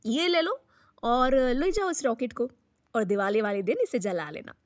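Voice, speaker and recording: A woman's voice: on the high side, very animated, normal speed, dry recording, studio quality. A woman voicing a friendly, teasing male shopkeeper in a children's storybook tale. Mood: amused, friendly.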